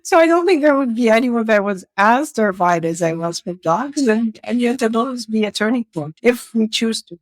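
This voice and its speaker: A woman's voice: on the high side, animated, brisk, few pauses, no room sound. A middle-aged woman from Saudi Arabia, a podcast guest talking in a casual, anecdotal way.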